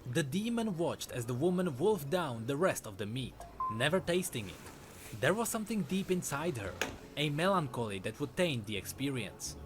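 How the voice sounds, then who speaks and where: A man narrating a dark fantasy story from a script in a dramatic storytelling style. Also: on the high side, sing-song, normal speed, over music. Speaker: lore narrator.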